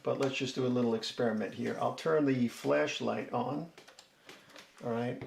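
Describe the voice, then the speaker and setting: Gravelly man demoing gadgets in an echoey room. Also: mid-range voice, fairly even intonation, normal speed, darkish tone. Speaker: host of a DIY product review channel. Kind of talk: casual product demo and review. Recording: roomy echo, faint background noise.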